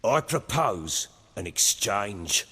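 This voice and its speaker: A man's voice: big pitch swings, long reverb tail, exaggerated voice. An actor voicing a brutish, proud middle-aged warlord and father in a video game, blunt and theatrical with a menacing streak. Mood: sly, bargaining. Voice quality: rough, husky. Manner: theatrical, slow, blunt, swinging from low menace to loud bluster.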